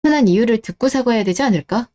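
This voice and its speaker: A woman's voice: on the high side, animated, fast talker, warm tone, lightly acted. A woman playing a betrayed, cool-headed wife who questions and reproaches in radio-drama dialogue. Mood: stern, unforgiving. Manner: cold, interrogating, reproachful.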